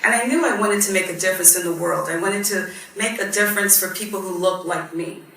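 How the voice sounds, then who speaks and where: A woman's voice: medium pitch, brisk, creaky, sharp tone. A woman in her fifties, born in Guyana and raised in Barbados, speaking as a judge on a panel. She tells her story in an earnest, reflective way, with frequent ums. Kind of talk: personal story at a panel discussion. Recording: echoey hall, mic at a distance, faint noise.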